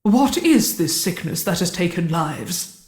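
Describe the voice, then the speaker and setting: A woman's voice: lowish voice, big pitch swings, darkish tone, roomy sound. Woman playing a commanding queen. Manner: brisk and commanding, dry. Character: brisk, organized, commanding queen.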